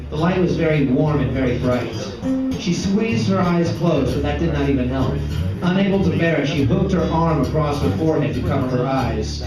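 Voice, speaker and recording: Echoey, distant man reading fiction aloud. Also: a little high. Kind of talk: dramatic literary narration, read aloud. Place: echoey indoor art gallery. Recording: echoey room, distant mic, dull sound, music behind. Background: plucked guitar music.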